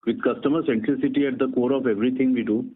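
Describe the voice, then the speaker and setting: A man with an Indian accent reading a formal, measured scripted business presentation as a company executive. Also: mid-range voice, fairly even intonation. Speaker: company executive on an earnings call. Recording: tinny phone line with clear background noise.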